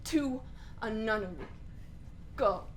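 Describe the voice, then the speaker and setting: A woman's voice: fairly high pitch, expressive, slow pace, a little hiss or hum. A woman acting a bitter, mocking, volatile young prince in a Shakespearean radio play. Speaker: actor playing Hamlet.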